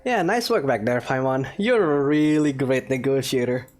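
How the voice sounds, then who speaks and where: A woman's voice: extremely low pitch, moderate pace, darkish tone. A woman doing casual gameplay commentary, improvising replies to game characters as she plays. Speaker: gamer playing and talking along.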